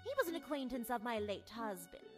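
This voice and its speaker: Genteel widow, played by an actress, gossipy and prim, highish swooping voice, game dialogue over music. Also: female voice, animated, a little fast, natural voice. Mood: wistful, chatty. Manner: mannered, prim, chatty upper-class phrasing. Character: genteel, gossipy society widow, married more than once. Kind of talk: voiced visual novel dialogue. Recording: music behind the voice.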